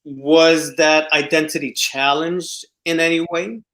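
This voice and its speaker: A man's voice: on the high side, fairly even intonation, slow, fairly bright tone. A man from New York hosting a casual video call conversation, asking questions with hesitant phrasing. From New York City. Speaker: host.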